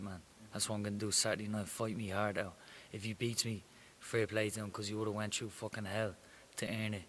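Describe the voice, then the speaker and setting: A man's voice: on the low side, fairly bright tone. A young Irish man, a professional boxer, talking confidently and plainly in an interview. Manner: confident, swaggering, plain-spoken. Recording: faint hiss.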